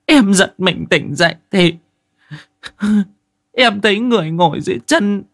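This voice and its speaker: A shrieking, terrified young wife. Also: male voice, lively intonation, measured pace, fairly bright tone, no echo, clean studio sound, exaggerated voice. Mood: frightened telling. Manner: shrill, panicked wailing.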